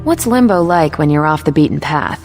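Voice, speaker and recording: Game heroine: casual, bemused, very low pitch, clear music behind. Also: female voice, animated, brisk. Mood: curious, bemused. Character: curious, casual, bemused woman hero. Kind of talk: video game cutscene acting.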